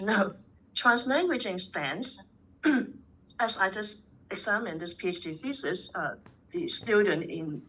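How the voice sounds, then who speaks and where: A woman in her sixties, from Hong Kong, talks in an online academic seminar. Her voice is bright and forceful, and her pitch climbs as she presses a point. Her pitch sits in the middle range for a woman. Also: breathy edge, rough recording. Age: 60s. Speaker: professor.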